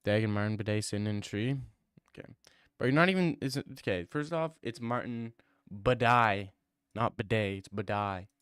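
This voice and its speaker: A man's voice: fairly low pitch, normal speed, a bit bright, clean tone, dry recording, clean studio sound. A young man streaming and answering viewer comments in a casual, teasing way, full of mock bravado.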